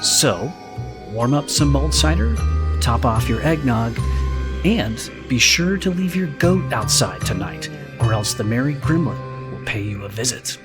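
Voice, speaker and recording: A man's voice: mid-range voice, animated, unhurried. A man reading a scripted introduction as the creator of a podcast. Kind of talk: scripted announcement. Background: guitar music.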